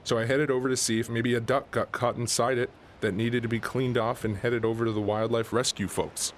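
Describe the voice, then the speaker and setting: An actor playing a folksy small-town fisherman who rambles and corrects himself in a deadpan way. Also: mid-range voice, a little fast, a little hiss or hum, lightly acted. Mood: rambling. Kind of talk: radio drama interview.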